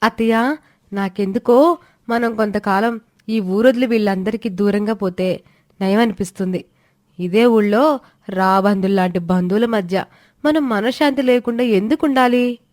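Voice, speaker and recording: A woman's voice: mid-range voice, moderate pace, pauses often, a little mellow, no room sound, clean studio sound, pitched above the performer's own voice, lightly acted. A woman voicing a clever, concerned young daughter-in-law, respectful and earnestly questioning, in a moral story.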